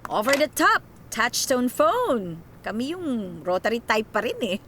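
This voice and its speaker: High-pitched, playful young Filipino woman. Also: sing-song, measured pace, pushed and bright, slight background noise, exaggerated voice. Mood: amazed, chatty. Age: young adult. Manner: exclamatory, playful banter. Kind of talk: radio drama acting.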